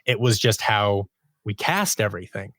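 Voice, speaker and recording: A man speaking as a guest writer and producer in a casual interview, haltingly thinking aloud and restarting his phrases. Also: fairly low pitch, lively intonation, a bit bright, dry recording. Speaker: podcast guest, audio drama writer and producer.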